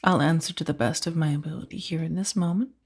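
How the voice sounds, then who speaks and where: A woman playing a powerful, coolly amused mafia boss in a soft-spoken ASMR roleplay, unhurried and condescending, with a breathy, husky voice. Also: low-pitched, fairly even intonation. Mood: calm, commanding. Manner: unhurried, velvety menace with condescending endearments.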